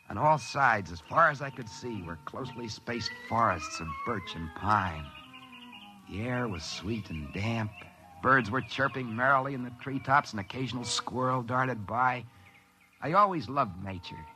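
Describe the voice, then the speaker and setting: A male actor playing a crafty, smug drifter who narrates his own tale in expressive 1950s radio drama style. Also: medium pitch, natural voice. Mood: smug storytelling. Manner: expressive, theatrical storytelling. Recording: vintage 1950s radio drama, music behind.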